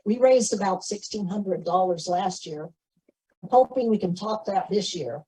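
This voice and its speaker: A woman's voice: medium pitch, a little slow, mellow, husky, no room sound, poor audio. A woman organizing a community event, giving informal announcements to a gathering.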